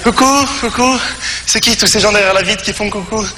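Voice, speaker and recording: A man's voice: high voice, a little fast, natural voice. A man acting as a naive, polite Moroccan immigrant, hesitant, repetitive and eager to please. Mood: giddy. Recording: echoey hall, clear background noise.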